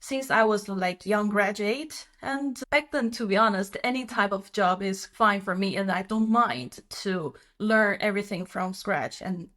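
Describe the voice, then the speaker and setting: A woman from China speaks English as a podcast guest. She is chatty and relaxed, laughs often, and pauses on fillers as she tells her story. Her voice sits at an ordinary middle pitch. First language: Chinese. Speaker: guest, company co-founder. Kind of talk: casual interview.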